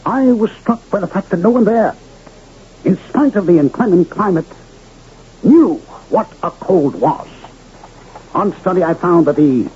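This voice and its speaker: An actor playing a smooth-talking traveling medicine showman in grandiloquent, theatrical old-time radio style. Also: warm tone, full character voice. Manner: grandiloquent pitchman, florid and theatrical. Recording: muffled, noisy old radio recording.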